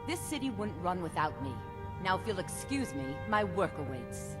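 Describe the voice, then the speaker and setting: A woman's voice: lowish voice, very animated, moderate pace. A woman playing a haughty, imperious court mage in a fantasy game, condescending and defiant. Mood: scornful. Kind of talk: fantasy game character acting. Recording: game music behind.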